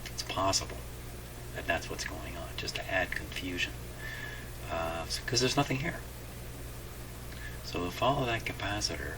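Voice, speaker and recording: A man's voice: low-pitched, normal speed, pauses often. A man doing unscripted hands-on repair commentary, thinking aloud in a hesitant, puzzled way as he works through a problem. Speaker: radio repair host. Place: repair shop, voice away from the mic. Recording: distant mic, clear background noise.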